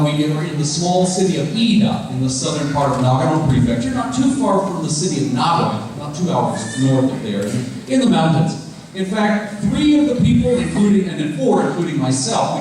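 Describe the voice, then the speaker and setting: A man's voice: a little high, big pitch swings, moderate pace, a little mellow. A middle-aged man, a professor leading a puppet troupe, gives an explanatory stage introduction and puts questions straight to his audience. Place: festival stage before an audience. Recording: very echoey, distant mic, faint noise.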